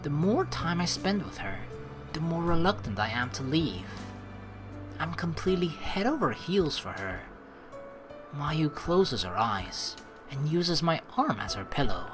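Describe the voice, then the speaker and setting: A young man hosting a game playthrough, reading narration aloud and adding playful, informal commentary in an expressive way. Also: fairly high pitch. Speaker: let's play host. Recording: voice over game music.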